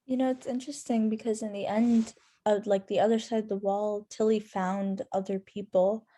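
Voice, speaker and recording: A woman's voice: medium pitch, flat delivery, moderate pace, dark tone. A woman sharing a personal reflection in a thoughtful, tentative way as a workshop participant.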